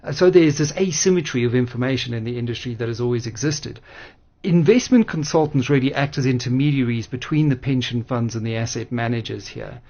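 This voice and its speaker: A man's voice: mid-range voice, dark tone. Dull-sounding male radio interviewer. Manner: measured, articulate, formal wording. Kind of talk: formal discussion of finance on radio.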